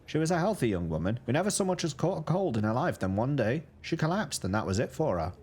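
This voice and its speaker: A man's voice: medium pitch, very animated, fast, darkish tone, slightly rough, voice pushed up. A man putting on a plump, kindly market greengrocer woman, chatty and sighing as she gossips. She speaks with a put-on regional accent in a storybook tale. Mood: gossipy.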